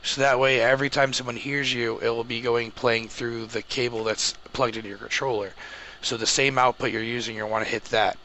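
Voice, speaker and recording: A man talking viewers through a software setup step by step in an informal, explanatory way. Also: medium pitch, fairly even intonation, a little fast. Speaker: host of a tech tutorial. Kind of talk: casual step-by-step screen tutorial. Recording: clearly noisy home microphone recording.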